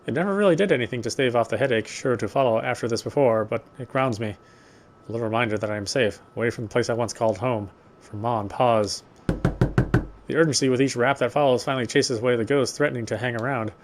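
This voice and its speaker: A man reading a horror game story aloud, with expressive, lingering narration. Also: medium pitch, quick pace. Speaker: let's player reading a visual novel aloud.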